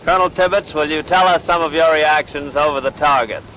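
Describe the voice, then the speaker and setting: A man's voice: on the high side, brisk, pushed and bright. An American man presenting and interviewing in a formal 1940s newsreel style. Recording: noisy 1940s outdoor newsreel recording.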